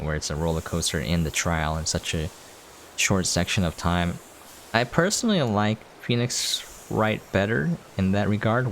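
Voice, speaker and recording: A man giving casual game commentary as a video host, in a laid-back, rambling way. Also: sits low. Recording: clear background noise.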